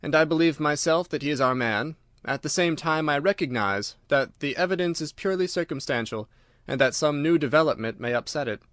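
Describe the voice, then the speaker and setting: A man voicing an earnest, confident police inspector in an audiobook, courteous and formal, with a brisk, assertive manner. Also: fairly high pitch, fairly bright tone, no room sound, studio quality, lightly acted. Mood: eager, courteous. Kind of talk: audiobook character dialogue.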